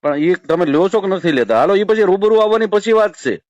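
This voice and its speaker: A man's voice: sits high, fairly quick, darkish tone, no echo, highs cut off. A man with a Saurashtra Gujarati accent pressing someone with probing questions in a confrontational call. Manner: probing, persistent. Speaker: caller challenging a shrine keeper.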